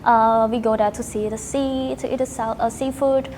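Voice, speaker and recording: High-voiced young Cambodian woman, halting speech. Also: fairly even intonation, a little mellow, slight background noise. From Cambodia, Battambang province. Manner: halting, searches for words in non-native phrasing. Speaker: podcast guest, teacher and student. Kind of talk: casual interview answers.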